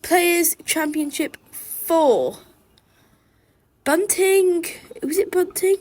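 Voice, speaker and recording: A woman's voice: extremely high pitch, drawn-out words, a little mellow. A woman hosting a solo podcast outdoors, chatting eagerly through a cold that leaves her bunged up. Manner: chatty, enthusiastic darts fan. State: congested from a cold. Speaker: podcast host.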